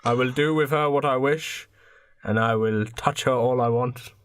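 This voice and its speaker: A man's voice: medium pitch, normal speed, clean tone, no room sound, clean studio sound, close to an everyday voice. A man playing a doddery, pompous, lewd immortal old mayor who rambles and repeats himself indignantly in a comic radio play. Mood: smug, lewd.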